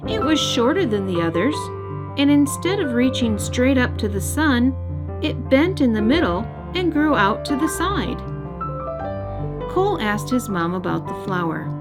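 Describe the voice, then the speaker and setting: A woman narrating a children's storybook as the storyteller, in an expressive scripted read-aloud style. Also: a little high. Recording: music bed behind narration.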